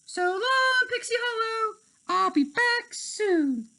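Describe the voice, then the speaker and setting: A man voicing a feisty, quick-tempered little female fairy in a children's storybook, exclamatory and petulant. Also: extremely high pitch, animated, pushed and bright, voice pushed up, exaggerated voice. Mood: excited.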